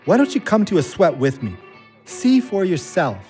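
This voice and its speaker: A man's voice: high voice, very animated, moderate pace, a little mellow, soft background music. A man playing an earnest, plain-spoken ex-convict and loyal friend in a naturalistic audio drama. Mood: challenging.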